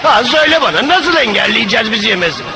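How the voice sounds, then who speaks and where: A man voicing an anxious, excitable but loyal little crab in a dubbed cartoon, frantically repeating himself. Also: very high voice, quick pace, background noise. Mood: panicked. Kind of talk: dubbed cartoon comedy acting.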